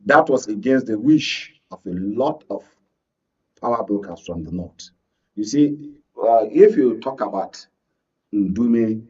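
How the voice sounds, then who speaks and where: A Nigerian man giving unscripted political commentary as a guest analyst, explaining in a halting way. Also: fairly low pitch, dark tone, husky, recorded from a distance, dull audio.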